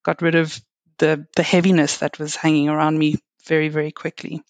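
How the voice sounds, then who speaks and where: A middle-aged woman from South Africa with a South African accent, a guest chatting reflectively with frequent fillers and soft laughs. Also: low-pitched, little pitch movement, fairly quick, no echo. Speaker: guest, reflexology and Reiki practitioner. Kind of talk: casual interview chat.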